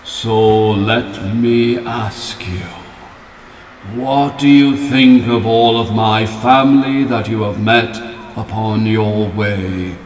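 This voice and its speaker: A man playing an ancient old planet in an audio story, solemn and knowing, speaking deliberately. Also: fairly low pitch, steady tone, slight background noise. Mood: probing, solemn. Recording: heavy echo, distant voice, faint music.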